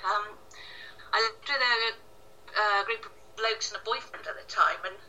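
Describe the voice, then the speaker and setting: Bright, sharp-voiced woman on a distant mic. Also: medium pitch, moderate pace, a little hiss or hum. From Wales. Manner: self-deprecating. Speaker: author being interviewed. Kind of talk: casual interview answers. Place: home kitchen, indoors. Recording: echoey kitchen, distant tinny call sound.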